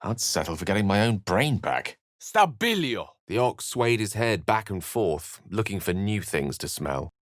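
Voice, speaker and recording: A learned male wizard from a fantasy video game, speaks in a fairly low voice with very animated intonation. His phrasing is literary and theatrical, with a wry edge. The recording is dry and free of room sound. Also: a little slow, hardly any pauses, no room sound. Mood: incantation, then grave narration. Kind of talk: fantasy game dialogue and narration.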